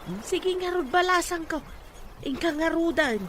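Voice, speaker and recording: A woman's voice: extremely high pitch, moderate pace, fairly bright tone. A woman acting a friendly older village neighbour in a radio drama, with an Ilocano accent. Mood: kindly. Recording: clearly noisy ambience behind.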